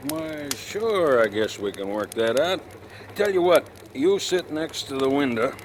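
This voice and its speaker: An actor playing an easygoing, needling police detective with colloquial, too-friendly talk, in 1940s radio drama style. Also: male voice, expressive, unhurried, natural voice. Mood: affable. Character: folksy, too-friendly male police detective guarding a prisoner. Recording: noisy old radio recording, train rumble.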